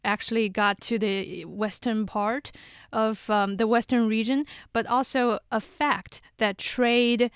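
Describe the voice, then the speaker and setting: Smooth, dry-sounding woman quiz host. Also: fairly high pitch, fairly even intonation, slow pace, no room sound. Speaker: quiz host on a talk show, drama fact checker. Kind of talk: casual explanatory studio talk with quiz questions.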